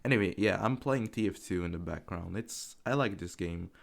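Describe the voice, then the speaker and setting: A young man of eighteen talks unscripted over his gameplay footage. His voice sits low and gravelly, with plenty of vocal fry. He rambles, swears casually and laughs at himself. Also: normal speed. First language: Czech. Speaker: gaming commentary YouTuber.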